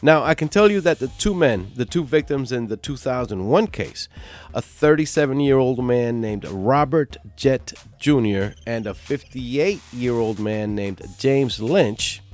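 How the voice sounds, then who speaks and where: A man hosting a true crime channel, giving a stern scripted narration while hoarse from a cold. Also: medium pitch, lively intonation, a little slow, darkish tone. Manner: stern, emphatic delivery.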